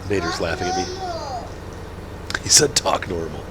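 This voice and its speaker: A man's voice: low voice, moderate pace, heavy background noise. A man with a head cold and a stuffed-up nose, casually chatting and commentating over a farming game, rambling and correcting himself as he goes. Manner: rambling, self-correcting, chuckling. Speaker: gaming channel host. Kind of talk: casual let's-play commentary.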